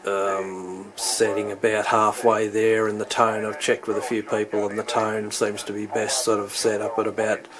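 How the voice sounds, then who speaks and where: A man with a fairly low voice and very little movement in pitch. He talks like a hobbyist walking someone through his gear without a script. His delivery is halting and hesitant, as if working out each point while he speaks. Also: completely flat delivery. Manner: halting, hesitant, thinking aloud. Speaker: hobbyist demonstrating his radio equipment. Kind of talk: unscripted hands-on equipment walkthrough.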